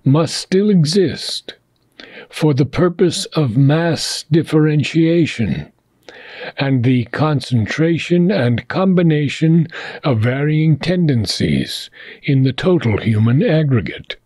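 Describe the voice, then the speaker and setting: Slow, husky-voiced man reading philosophy. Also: on the high side, animated, a little mellow, dry recording. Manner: measured phrasing with long pauses between short phrases. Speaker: reader of a philosophy book. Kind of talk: slow, deliberate reading aloud.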